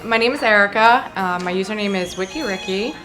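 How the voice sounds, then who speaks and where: A woman from New Jersey, a site supervisor, answering interview questions in a chatty, measured way. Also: medium pitch, a bit bright, faint music behind, low-quality recording.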